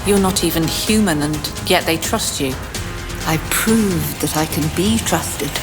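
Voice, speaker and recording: A woman's voice: deep voice, measured pace. A woman acting an intense, determined character in a science fiction audio drama. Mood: defiant. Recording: dramatic music behind the voice.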